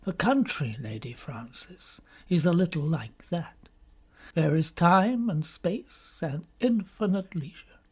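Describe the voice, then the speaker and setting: A man voicing a slow, grave, sinister doctor. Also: on the high side, lively intonation, a little mellow, slightly rough, exaggerated voice. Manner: slow, deliberate, probing questions. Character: grave, sinister middle-aged male country doctor. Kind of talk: audiobook character reading.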